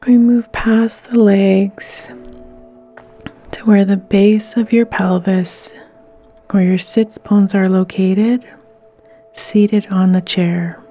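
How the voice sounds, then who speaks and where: A woman leading a guided meditation in a soft, gentle, soothing way, with unhurried pauses and some breathiness. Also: medium pitch, fairly even intonation, soft background music.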